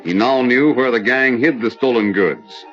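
A man's voice: mid-range voice, moderate pace, fairly bright tone, soft background music, close to an everyday voice. A man narrating a vintage radio Western in a scripted 1940s storytelling style. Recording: dull old-time radio recording.

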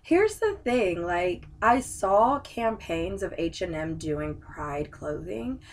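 A young woman co-hosting a casual podcast from a home podcast room, relaxed and swearing, laughing often. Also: lowish voice, slow pace. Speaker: podcast co-host. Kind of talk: casual chat.

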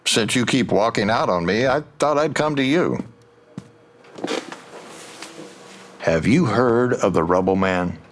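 Actor as a lively, needling male investigator. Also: on the low side, normal speed, a little hiss or hum, close to an everyday voice. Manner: pointed, goading. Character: needling, probing male investigator, smug and persistent. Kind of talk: full-cast audio drama acting.

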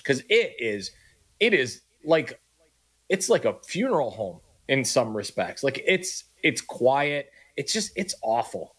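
A man's voice: medium pitch, a bit bright. Mid-voiced man co-hosting sports radio. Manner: conversational, reads listener texts. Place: radio studio. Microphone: studio mic.

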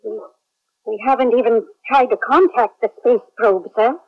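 A woman's voice: fairly high pitch, normal speed, lightly acted. A woman acting a questioning military major in a 1970s radio drama, polite and probing. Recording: dull 1970s radio recording.

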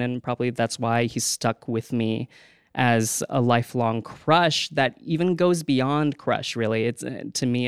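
Very clear-voiced man. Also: medium pitch, no echo, clean studio sound. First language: Cantonese. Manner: animated, with laughing asides. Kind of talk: casual chat.

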